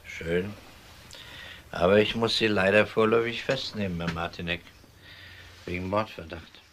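A man playing a calm, unhurried police detective in a German crime radio drama, dry and politely ironic. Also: low-pitched, slight background noise. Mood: matter-of-fact.

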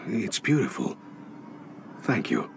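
An actor playing a middle-aged hired heist expert in a video game, polite and measured, with dry bemusement. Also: male voice, sits low, wildly swooping pitch, creaky, background noise, exaggerated voice. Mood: reserved. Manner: courteous, measured, dryly puzzled. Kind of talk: video game cutscene acting.

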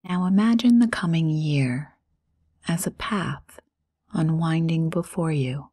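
A low-pitched woman leads a guided meditation in a breathy, near-whispered voice. She speaks very slowly and soothingly, leaving generous pauses between short phrases. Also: mellow.

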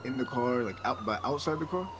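A man's voice: medium pitch, expressive, a little fast, crowd noise. A man role-playing a police officer in an online game, improvising his dialogue. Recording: sirens and noise over game chat.

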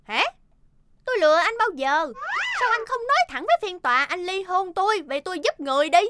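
A woman's voice: very high voice, animated, a little fast, very noisy. A woman voicing a melodramatic, indignant young wife in a comedy cartoon, full of exclamations. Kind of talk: animated comedy cartoon dub.

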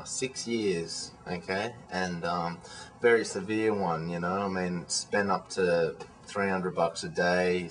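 Young Australian guy, lowish voice, chatty and rambling, recorded on a webcam set a bit back in a living room. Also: distant mic. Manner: rambling, frank, many fillers and restarts. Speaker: vlogger. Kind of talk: casual webcam video reply. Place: living room at home, indoors. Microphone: webcam at desk distance.

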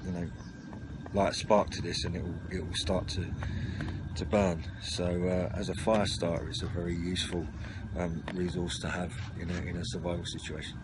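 A man giving an unscripted, matter-of-fact walkthrough of gear, speaking haltingly, recorded outdoors at a table on a camera mic. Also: deep voice, level tone. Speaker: presenter of outdoor gear videos. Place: outdoors at a wooden garden table by a house. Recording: noisy outdoor camera audio.

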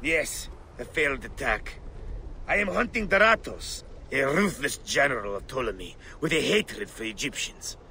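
A man's voice: medium pitch, big pitch swings, normal speed, sharp tone, a little hiss or hum. An actor playing a fervent, vengeful male rebel leader in a video game, speaking emphatically, as if plotting. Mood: intense, vengeful. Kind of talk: dramatic game cutscene dialogue.

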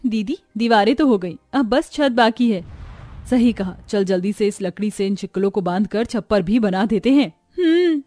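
A woman voicing a poor young village sister, earnest and expressive, in an animated moral story. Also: medium pitch, moderate pace, faint music behind, natural voice. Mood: eager.

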